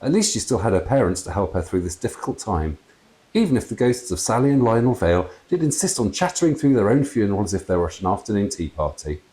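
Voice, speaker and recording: A man in his fifties reading a children's story aloud in an expressive storytelling style. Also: fairly low pitch, quick pace, poor audio. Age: 50s. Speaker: author reading own book aloud. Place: small room with wooden door and brick wall. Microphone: clip-on lapel mic.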